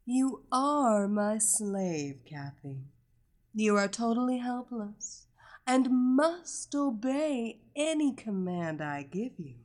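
A woman voicing a slow, commanding hypnotist woman. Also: fairly high pitch, expressive, darkish tone. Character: commanding blonde female hypnotist, domineering.

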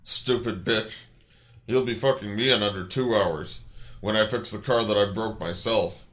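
A man's voice: lowish voice, fairly even intonation, normal speed, a bit bright, close to an everyday voice. A man playing a sly, scheming car mechanic with a fawning, falsely apologetic manner in a story. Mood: smug, contemptuous.

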